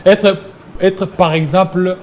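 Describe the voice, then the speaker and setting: Halting Congolese male interviewer, noisy background. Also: sits high, fairly even intonation. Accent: Congolese French. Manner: interjecting, halting, repeats phrases. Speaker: television interviewer. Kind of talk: combative political interview. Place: television studio.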